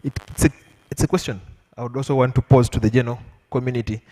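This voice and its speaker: Ugandan guy on a panel, bit sick, speaking measured to a room, big echoey hall sound. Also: medium pitch, fairly even intonation, dark tone. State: unwell, voice a little weak. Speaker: panelist at a public lecture. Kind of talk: impromptu public speech to an audience. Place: lecture hall with an audience. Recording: echoey hall with long reverb.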